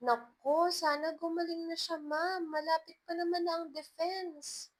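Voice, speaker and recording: A woman's voice: very high voice, little pitch movement, unhurried, voice pushed up. A woman voicing a friendly, helpful female colleague with a kindly, encouraging manner in a love story. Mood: friendly, concerned.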